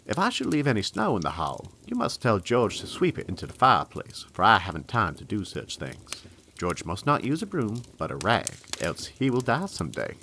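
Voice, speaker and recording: Man playing kindly, lively low-voiced Santa Claus. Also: normal speed, a little hiss or hum, full character voice. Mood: fond, playful. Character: kindly playful Santa Claus writing to a little girl. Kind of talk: dramatic reading of a letter in audio drama.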